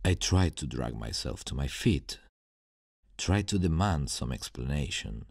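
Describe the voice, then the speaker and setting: A man playing an embittered scientist turned supervillain in a dramatic ASMR roleplay monologue, telling his story in a brooding, deliberate, theatrical way. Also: a bass voice, vocal fry, a little mellow, dry recording, clean studio sound, exaggerated voice. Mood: dark, haunted.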